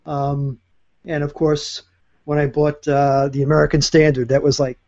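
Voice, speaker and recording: Mellow chatty man, lo-fi sound. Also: fairly high pitch, flat delivery, moderate pace. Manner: rambling, chatty, many fillers and restarts. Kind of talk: casual chat.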